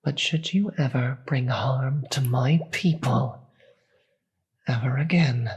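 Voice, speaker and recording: A performer voicing a grave, weary demon queen in a dramatic audio roleplay, with a breathy, husky voice and slow, deliberate delivery. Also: medium pitch, darkish tone, lo-fi sound, full character voice. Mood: stern, commanding. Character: weary, commanding demon queen, secretly soft-hearted.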